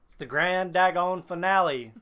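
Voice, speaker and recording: A man's voice: high-pitched, normal speed, pushed and bright, clear, resonant tone. A man hosting a reaction show in casual chat, slangy and given to swearing. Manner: slangy, profane.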